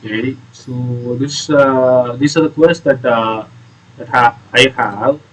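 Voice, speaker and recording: A man giving casual, explanatory commentary on a video game playthrough in a hesitant, halting way. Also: mid-range voice, fairly even intonation, a bit bright, poor audio. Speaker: gaming video creator.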